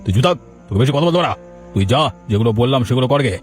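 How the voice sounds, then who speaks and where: A man's voice: medium pitch, expressive, rapid-fire, soft background music, close to an everyday voice. A man voicing a greedy, gloating village landlord in a cartoon, domineering and bossy in his talk. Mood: scornful, irritated. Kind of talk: dubbed cartoon dialogue.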